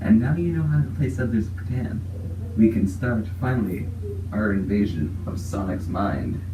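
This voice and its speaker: Goofy pompous cartoon villain, acted by a man, very animated delivery, far from the mic in an echoey room. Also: lowish voice, normal speed, natural voice. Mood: mock-pompous scheming. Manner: mock-pompous, rambling, silly. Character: goofy scheming male villain, mock-pompous cult-leading mad genius. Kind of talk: improvised comedy fandub of a cartoon. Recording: roomy, distant mic with clear noise.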